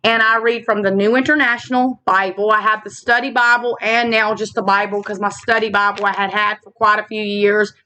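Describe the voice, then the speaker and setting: A woman with a slightly raspy voice, hosting a casual faith vlog, talking earnestly with fillers and slow reflective stretches into a laptop mic. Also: medium pitch, level tone, pushed and bright, rough recording. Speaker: channel host. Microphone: laptop built-in mic.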